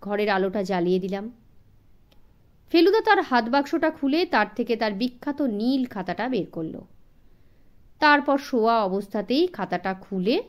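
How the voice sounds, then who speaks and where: A woman narrating a story expressively for children as an audiobook reader. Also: a little high.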